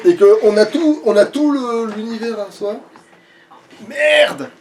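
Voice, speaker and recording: High-pitched man gaming, distant mic. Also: slow pace. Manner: crude joking, swearing. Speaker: let's play gamer playing. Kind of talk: casual gaming chat with a co-commentator. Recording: distant mic, faint background noise.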